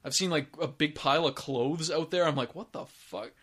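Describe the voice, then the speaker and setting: A man's voice: fairly high pitch, fairly even intonation, a little fast, a bit bright. A man co-hosting a casual chat, rambling loosely and swearing freely. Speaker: co-host.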